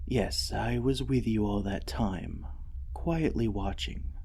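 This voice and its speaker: A gentle artificial intelligence of a war mech , acted by a man, speaks in a slow, soft ASMR roleplay. The voice is lowish and very mellow, earnest and devoted, with a hesitant, soothing care for its pilot. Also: husky, full character voice. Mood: tender, consoling. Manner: soothing, earnest, hesitant, often dropping to a whisper.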